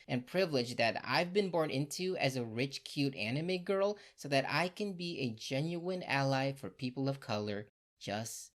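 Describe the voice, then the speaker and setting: A woman voicing a haughty, entitled rich anime girl, self-important and oblivious, in a cartoon parody. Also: low-pitched.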